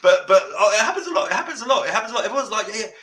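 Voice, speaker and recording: A man hosting a podcast, giving blunt advice in casual chat with a deep voice, swearing freely and repeating himself. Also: sing-song, rapid-fire. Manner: passionate, sounds like shouting. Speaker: podcast host.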